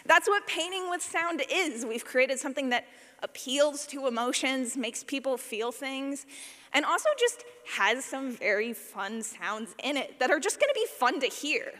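A woman giving a live workshop talk as a sound designer, animated, playful and informal, acting out examples as she goes. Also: high-pitched, unhurried. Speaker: session presenter, podcast sound designer. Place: large indoor session room. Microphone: presentation microphone. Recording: echoey hall, live presentation.

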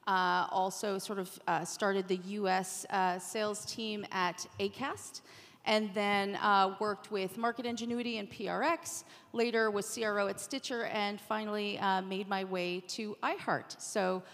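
A woman's voice: mid-range voice, steady tone, measured pace, a bit bright. A woman talks casually on a panel in a mid-range voice. She is chatty and informal, and the room adds a long reverb. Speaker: panelist, audio executive. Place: on stage at a conference session. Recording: reverberant conference room sound.

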